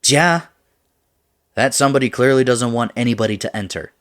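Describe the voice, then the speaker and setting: A man's voice: mid-range voice, huge pitch swings, unhurried, voice pushed up. Man playing an exasperated, jittery young guy, voice raised. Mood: anxious, insistent. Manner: slangy, protesting, exasperated. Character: nervous, sarcastic young man, the narrator's reluctant friend. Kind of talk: acted dialogue in horror narration.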